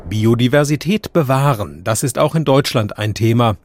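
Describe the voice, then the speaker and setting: A man presenting a science news radio segment in a measured, matter-of-fact scripted delivery. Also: medium pitch, a little hiss or hum.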